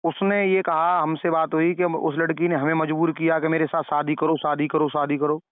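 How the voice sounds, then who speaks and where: A man's voice: fairly high pitch, little pitch movement, normal speed, clear, resonant tone, no echo. A man talking on a phone call in a moralizing, preachy way, advising and repeating his phrases for emphasis. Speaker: caller questioning and advising the other party. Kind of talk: phone conversation. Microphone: telephone. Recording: narrow tinny phone-call recording.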